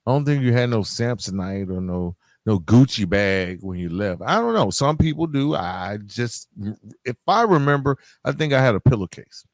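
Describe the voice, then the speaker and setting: A man hosting a podcast, telling personal stories in a candid, conversational, halting way with phrases repeated for emphasis. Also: mid-range voice, sing-song, no room sound, studio quality. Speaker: podcast host. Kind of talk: casual personal storytelling to listeners.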